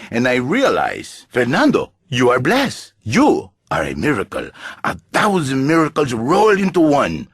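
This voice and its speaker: An actor playing a flamboyant, pompous Latin lothario with a Spanish accent and husky voice, theatrical and grandiose in broken English on satirical talk radio. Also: male voice, medium pitch, no room sound, studio quality, exaggerated voice. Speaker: radio talk show guest.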